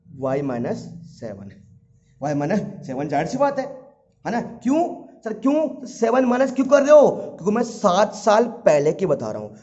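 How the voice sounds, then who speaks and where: A man's voice: high voice, very animated, normal speed, a little mellow. A man gives an informal maths lesson. He goes over each step repeatedly, asking his students questions and answering them himself. The audio is dull and lo-fi. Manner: addresses students fondly as children. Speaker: maths teacher.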